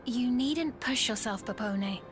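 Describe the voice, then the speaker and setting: An actress playing a gracious, composed queen in a video game, speaking in a measured, formal manner. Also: on the high side, lightly acted. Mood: calm, explanatory. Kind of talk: scripted video game cutscene acting. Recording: orchestral game music behind.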